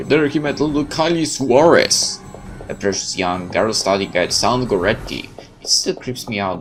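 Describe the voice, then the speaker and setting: Very deep-voiced, animated male let's player. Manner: expressive, theatrical. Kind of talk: dramatic read-aloud with casual asides.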